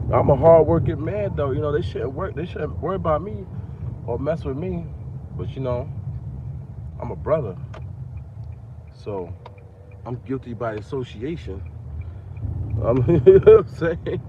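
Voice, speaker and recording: A man in his forties from Long Island, New York, vlogging from his car at night, talking in a loose, repetitive way full of slang and fillers, his voice groggy. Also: fairly high pitch. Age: 40s. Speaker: rapper and vlogger. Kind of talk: casual talking-to-camera rant. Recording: dull lo-fi phone audio with car noise.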